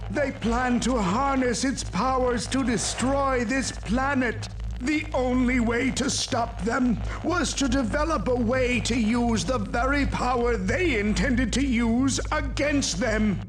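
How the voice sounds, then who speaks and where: A man playing a grave old scientist in a video game, slow, solemn and earnest as he pleads. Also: extremely high pitch. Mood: grave, remorseful. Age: older. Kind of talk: video game cutscene acting. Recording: echoey, noisy playback of a message.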